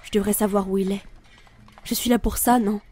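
A woman's voice: medium pitch, fairly even intonation, fairly quick, mellow, husky, close to an everyday voice. An actress playing a weary, brooding female reaper of souls in an introspective audio drama narration. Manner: solemn, introspective.

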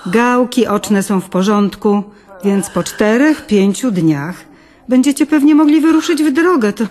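A woman's voice: on the high side, lively intonation, a little slow, a little mellow. Woman playing a reassuring female eye doctor. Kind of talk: radio play acting.

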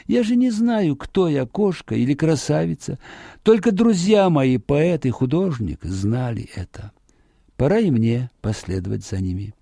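A man as a wistful, husky cat-girl. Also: on the high side, very animated, unhurried, warm tone, dry recording, studio quality, heavily acted. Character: wistful young female cat-girl, lonely and searching.

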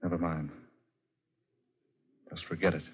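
Husky jilted lover, old radio drama. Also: male voice, sits low, flat delivery, unhurried, exaggerated voice. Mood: stung. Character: jilted, hot-tempered, successful man in love. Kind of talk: 1940s radio drama acting. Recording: muffled old 1940s radio recording.

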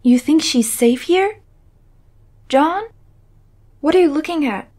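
A woman's voice: high-pitched, animated, takes it slowly, a little mellow. A young woman acting a worried, practical, easily exasperated character in a comic dub. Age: young adult.

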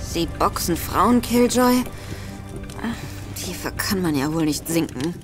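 A woman's voice: on the low side, expressive, takes it slowly, over music. An actress playing a defiant young woman spy with a sassy, cutting manner. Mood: scornful. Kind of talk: studio audio drama acting.

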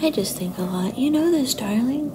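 A sweet young girlfriend voiced by a woman in an intimate ASMR date roleplay, speaking softly in a high voice that drifts into whispers. She is affectionate, coy and a little unsure of herself. Clear ambient noise sits behind her. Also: animated, normal speed. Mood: shy, self-doubting. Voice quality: soft, often slipping into breathy whispers. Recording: noticeable background noise and ambience.

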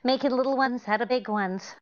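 A woman's voice: on the high side, fairly quick, natural voice. A woman acting a scatterbrained, sweetly earnest wife in 1940s-style radio comedy. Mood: sweetly coaxing. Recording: slightly dull video-call audio.

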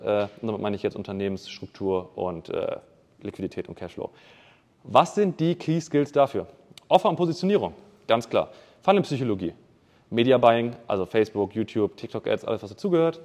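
Fast-talking man in a reverberant hall. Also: mid-range voice, darkish tone. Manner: fast, punchy business jargon mixed with English terms. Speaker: keynote speaker. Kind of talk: live conference talk on marketing funnels. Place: event hall with a live audience.

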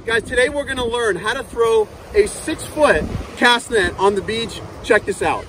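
A man's voice: very high voice, moderate pace. A man in his thirties hosting a fishing channel, upbeat, demonstrating a cast net outdoors on a sandy beach and calling the viewers "guys". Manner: upbeat, repeats "guys" and "okay", talks while handling the net. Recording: outdoor beach noise behind the voice.

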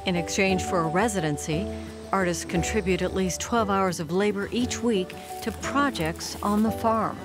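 A woman narrates a documentary in a measured, polished voice-over at a middle pitch. Music plays clearly beneath the studio-recorded read. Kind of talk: scripted narration. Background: background music.

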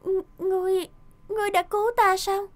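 A woman voicing a headstrong, dramatic young princess in an animated fairy tale. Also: extremely high pitch, moderate pace. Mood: dazed. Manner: exclamatory, dramatic.

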